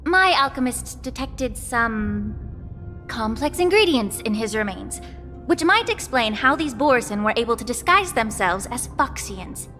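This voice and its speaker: An actress playing a composed female alchemist master who explains things calmly. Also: fairly high pitch, unhurried, in a large hall, faint music behind. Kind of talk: game cutscene voice acting.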